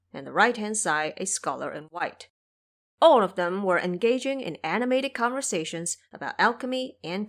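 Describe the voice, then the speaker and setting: A woman narrating an audio drama with expressive, vivid storytelling. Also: mid-range voice.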